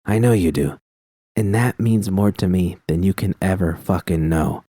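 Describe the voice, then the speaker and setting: A man voicing a steady young woman mercenary who speaks calmly and reassuringly. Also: low-pitched, normal speed, no room sound, studio quality. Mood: tender. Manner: calm, gently reassuring.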